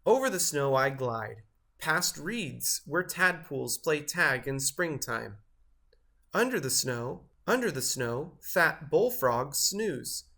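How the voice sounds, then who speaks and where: Bright-voiced man reading a picture book. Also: a little high, big pitch swings, vocal fry. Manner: measured, careful phrasing. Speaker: storybook reader. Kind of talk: expressive children's read-aloud narration.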